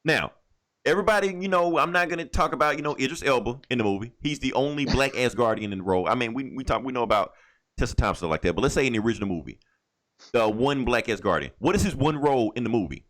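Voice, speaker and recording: A man's voice: medium pitch, expressive, fast talker, fairly bright tone. A man co-hosting a podcast in casual, profane, slangy banter, joking often. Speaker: podcast co-host.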